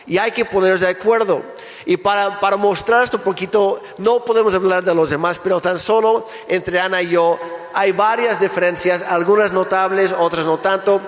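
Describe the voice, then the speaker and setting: A man from Canada, a native English speaker, preaching a relaxed sermon in Spanish with jokes and restarts. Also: sits high, normal speed. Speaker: pastor. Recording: echoey hall with long reverb.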